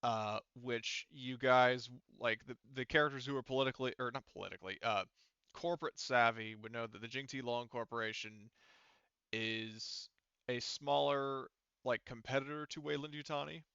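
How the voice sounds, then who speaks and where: Casting: male game master, mid-pitched and clear, rambling casual explanations, close dry room. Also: steady tone, pushed and bright, no room sound, dull audio. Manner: halting, rambling, filler-heavy explanations. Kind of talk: casual tabletop game narration.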